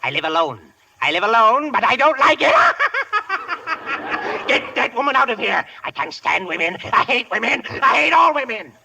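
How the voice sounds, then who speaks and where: An actor playing a crazed, cackling old hermit who hates women, raspy and ranting in a vintage radio comedy. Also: male voice, very high voice, wildly swooping pitch, normal speed, background noise, exaggerated voice. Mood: manic ranting. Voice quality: raspy, gravelly. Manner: erratic ranting that leaps into shrieks. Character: crazed old bearded hermit, woman-hating, cackling maniac. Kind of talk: 1940s radio comedy acting.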